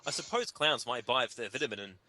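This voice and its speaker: A man's voice: mid-range voice, expressive, racing, bright, forceful tone. A man giving a theatrical, comedic dramatic reading of a humorous article to friends, prone to breaking up as he reads. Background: music.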